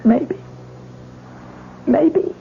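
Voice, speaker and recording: An actress playing an insistent, emotional deserted new bride in a 1970s radio drama, defensive and halting. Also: female voice, phone-quality sound. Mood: softened, hesitant. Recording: noisy, thin vintage radio broadcast.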